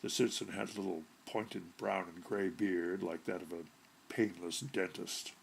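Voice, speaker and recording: A man narrating a short story from a script with deliberate, theatrical delivery and a gravelly, husky voice. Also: sits low, fairly even intonation, a little hiss or hum, low-quality recording. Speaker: audiobook narrator.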